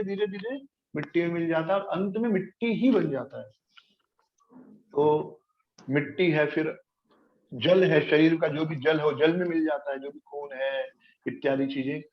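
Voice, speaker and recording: A man giving an explanatory spiritual lecture as a teacher, asking his listeners rhetorical questions and mixing in English terms. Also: on the high side. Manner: asks the listeners rhetorical questions and checks they follow. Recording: echoey room, dull lo-fi sound, faint noise.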